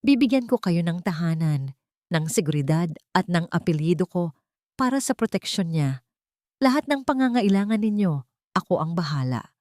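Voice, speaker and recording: A woman's voice: on the low side, expressive, moderate pace, mellow, no room sound, clean studio sound, close to an everyday voice. A woman with a Filipino accent voicing a cold, brooding rich man in a romance story, blunt and businesslike. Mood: firm, merciless.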